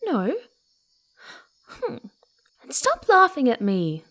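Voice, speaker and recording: A woman's voice: sits high, huge pitch swings, slow, fairly bright tone, full character voice. A woman voicing a playful, mischievous young female fox spirit in an ASMR roleplay, with a cutesy, giggly, teasing manner. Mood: startled, playful suspicion.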